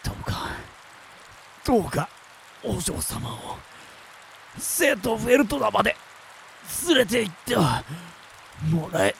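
Radio drama servant: devoted, dying retainer, breath failing, halting slow polite pleas. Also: male voice, high-pitched, sing-song, vocal fry, fairly bright tone, a little hiss or hum, full character voice. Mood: weak pleading. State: weak, gasping, fading.

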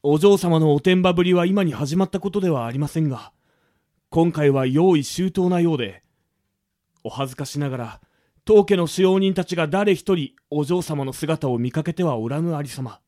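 An actor playing a stern, worried butler in a radio drama, speaking in stiff, archaic, very polite phrases with music behind him. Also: male voice, on the high side, moderate pace, dark tone, close to an everyday voice. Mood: grave, worried. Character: formal, stern, worried elderly male butler. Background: background music.

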